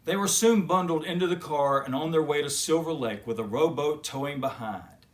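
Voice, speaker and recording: A man reading a children's story aloud as expressive narration. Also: on the high side, moderate pace. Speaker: children's story reader and host.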